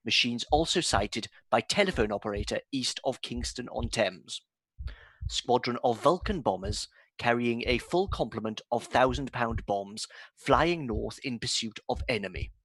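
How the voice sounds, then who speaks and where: A steady radio announcer reads battlefield bulletins in a radio drama, played by a man. His voice sits at a mid pitch, and his delivery is even and measured. The sound is close and dry, with no room around it. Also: no echo, poor audio. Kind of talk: scripted bulletin reading.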